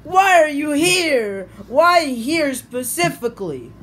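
A man runs a toy skit and asks puzzled questions in a high voice. He speaks slowly. The sound comes from a phone camera in a bedroom. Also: sing-song, fairly bright tone.

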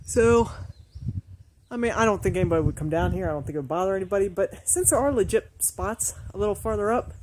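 A woman in her forties chatting casually to a phone held at arm's length in a forest, relaxed and laughing easily. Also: medium pitch, brisk, long pauses, noisy background. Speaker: vlogger. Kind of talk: casual solo travel vlog. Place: outdoors in a forest.

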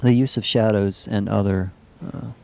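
Male technical demonstrator, low voice with plenty of fry, halting and explanatory. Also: fairly even intonation, darkish tone. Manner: halting, measured explanatory delivery. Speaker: software researcher demonstrating a system. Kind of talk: live demo narration of a computer animation.

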